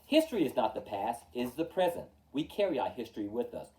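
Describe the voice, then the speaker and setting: A man hosting a storytime, reading a rhyming children's book aloud in a theatrical, measured way, with emphatic openings and falling line endings. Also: mid-range voice, big pitch swings, dark tone, recorded from a distance, slight background noise, poor audio. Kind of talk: expressive children's picture-book read-aloud in rhyme.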